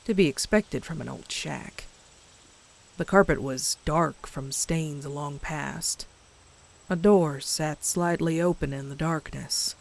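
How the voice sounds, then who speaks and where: A woman's voice: deep voice, exaggerated voice, low-quality recording. A woman voicing a frightened pony who narrates a horror comic dub in a suspenseful, dramatic way. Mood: hushed dread. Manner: slow, suspenseful, dropping into hushed breathy whispers. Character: terrified pony narrating a horror tale, dread-filled.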